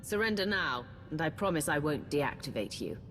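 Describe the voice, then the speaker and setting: A lively-pitched female voice as a determined commander in a video game. She is direct and confident. Music sits clearly behind her words. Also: moderate pace. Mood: assertive. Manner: direct, assured. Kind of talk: video game cutscene acting.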